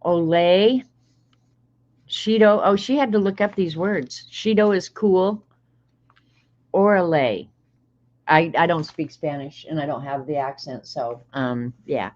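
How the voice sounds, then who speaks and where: Slow, low-voiced woman in her sixties. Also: a little mellow. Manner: chatty, thinks aloud, laughs often. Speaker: streamer opening mail on stream. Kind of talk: casual livestream chat. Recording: slightly dull lo-fi stream audio.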